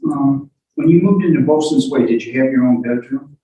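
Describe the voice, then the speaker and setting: A man questioning a witness as a lawyer in court, halting and repeating words as he forms his questions. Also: mid-range voice, warm tone, muffled recording. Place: indoor courtroom. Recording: echoey courtroom, distant microphone.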